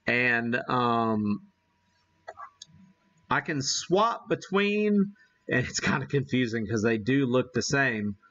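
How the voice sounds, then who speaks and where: A man talks casually as he hosts a live demonstration. His delivery is slow and halting, as if working things out aloud, with a folksy, self-deprecating manner. The recording is somewhat dull and lo-fi. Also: medium pitch, animated, fairly bright tone. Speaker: host of a live ham radio demonstration. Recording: dull, muffled lo-fi sound.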